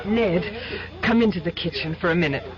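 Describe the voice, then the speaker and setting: A woman's voice: lowish voice, brisk, crowd noise, close to an everyday voice. A woman playing a young fiancée who gushes affectionately, in a radio drama. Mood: adoring. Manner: gushing, affectionate. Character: young adoring fiancée, boss's daughter. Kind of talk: 1970s radio drama acting. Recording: dull lo-fi radio broadcast with faint hiss.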